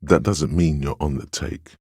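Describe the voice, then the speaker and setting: An extremely deep, gravel-edged inner voice of willpower for a video game. It counsels like a stern but encouraging mentor, measured and solemn, with a heavy husk in the tone and a dry, close sound. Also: male voice, dark tone, dry recording, exaggerated voice. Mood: steadying. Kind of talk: scripted game narration, second-person counsel.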